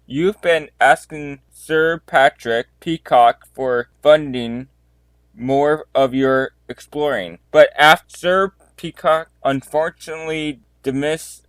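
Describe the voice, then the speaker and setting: A man's voice: medium pitch, dry recording, natural voice. A man playing a methodical police detective in an amateur audio drama, addressing suspects in a formal, declamatory way. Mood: stern, expository.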